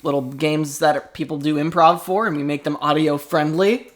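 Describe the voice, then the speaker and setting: A man's voice: fairly high pitch, steady tone, moderate pace. Male improv podcast co-host, casual banter, dry and sarcastic delivery.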